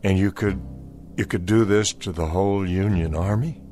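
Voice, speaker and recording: An actor playing a skeptical, uneasy Confederate soldier in a radio play, speaking in a stern, earnest manner. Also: male voice, low-pitched, steady tone, moderate pace, warm tone, soft background music, natural voice. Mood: skeptical, insistent. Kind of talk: dramatic radio play acting.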